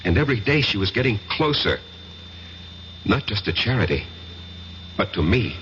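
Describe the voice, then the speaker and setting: An actor playing an uneasy, fearful college professor in an old-time radio drama, with a hesitant, agitated and dramatic delivery. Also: male voice, lowish voice, very animated, normal speed, a bit bright. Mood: grave, confiding. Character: uneasy, fearful male college professor recounting his ordeal. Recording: muffled, hissy old radio recording.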